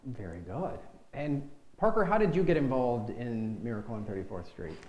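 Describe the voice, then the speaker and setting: A man hosting a chat show, speaking in a polite, measured way. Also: mid-range voice, big pitch swings, darkish tone, slight background noise. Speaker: interview host. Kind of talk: hosted studio chat. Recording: echoey room.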